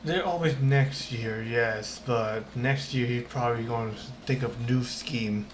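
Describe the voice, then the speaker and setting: A woman streamer giving casual, offhand commentary over gameplay in halting, non-standard grammar. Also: rumbling low, background noise. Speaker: game streamer. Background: game music.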